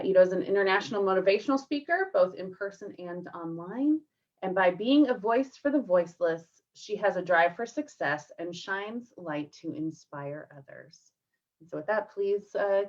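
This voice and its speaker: A woman's voice: medium pitch, normal speed. A woman hosting an online event, reading a speaker introduction and apologetically sorting out muting problems on a video call. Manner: apologetic when handling tech trouble. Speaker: event host. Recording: distant, dull online call audio.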